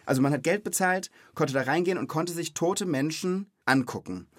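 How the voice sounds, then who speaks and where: A man's voice: fairly high pitch, expressive, moderate pace, no echo, clean studio sound. A man in his thirties hosting a children's podcast, explaining things in an informal, chatty, conversational way.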